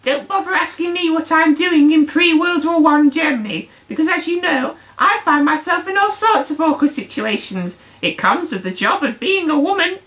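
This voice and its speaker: A woman's voice: high-pitched, expressive, moderate pace, hardly any pauses, fairly bright tone, far from the mic, low-quality recording. A woman voicing a chatty, sardonic suffragette puppet with a theatrical, mock-genteel manner in a comic puppet show. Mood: chirpy, sardonic. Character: chatty sardonic suffragette puppet, a self-declared blonde bimbo.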